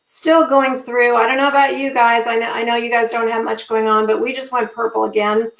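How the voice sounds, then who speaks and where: A woman co-hosting a live low-carb cooking show who is losing her voice, talking in a halting way with many fillers and throat-clearing. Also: little pitch movement, echoey room, low-quality recording. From Southern California. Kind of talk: live-streamed casual chat.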